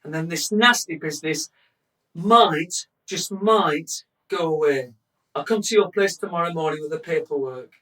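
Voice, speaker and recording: A woman's voice: deep voice, normal speed, fairly bright tone. A woman acting in a radio drama as a flustered, bossy football club owner, exasperated and emphatic, recorded at a distance from the mic. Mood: firm, businesslike.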